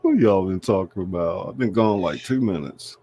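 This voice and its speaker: A man's voice: normal speed, dark tone, soft background music. A man hosting a casual livestream, reading a script aloud as narrator. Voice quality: low, gravelly. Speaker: host and script reader.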